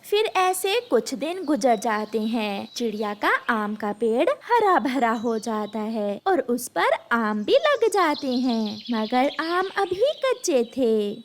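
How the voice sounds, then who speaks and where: Slow, expressive woman storyteller. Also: on the high side, slight background noise. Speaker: narrator. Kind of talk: scripted storybook narration.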